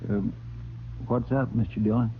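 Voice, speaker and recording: An actor playing an earnest, deferential deputy with an in an old radio drama. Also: male voice, mid-range voice, fairly even intonation, moderate pace, lightly acted. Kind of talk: 1950s radio western acting. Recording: tinny, hissy old radio recording.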